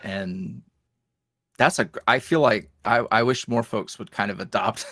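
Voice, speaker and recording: A man's voice: on the low side, unhurried, fairly bright tone, dry recording, clean studio sound. A man chatting casually as a podcast guest, in a folksy, self-deprecating and jokey manner. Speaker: interview guest, online audio roleplay creator.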